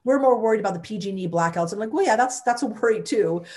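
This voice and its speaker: A woman's voice: mid-range voice, expressive, quick pace, a little mellow. A woman, a former mayor, telling her story in an interview with emphatic energy and many restarts.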